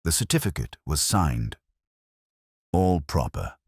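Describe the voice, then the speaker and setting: A thin, uneasy man of forty-odd from a Victorian mystery, read by a male narrator. He talks in a low voice, quietly confessional and weighed down by guilt, with restless movement in his pitch. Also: very animated, takes it slowly, husky, no room sound, studio quality, exaggerated voice. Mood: guilty, confessing. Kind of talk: dramatised story reading.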